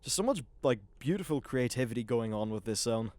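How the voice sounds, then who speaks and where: A man's voice: medium pitch, animated, fairly quick, a little mellow, no echo. A man hosting a gaming video, giving casual, informal gameplay commentary with some swearing.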